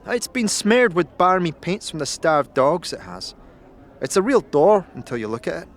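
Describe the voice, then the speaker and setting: Woman acting a brusque, animated streetwise fantasy guide. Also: lowish voice, moderate pace. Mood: matter-of-fact, sardonic. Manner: curt, slangy, sardonic. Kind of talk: fantasy audio drama acting.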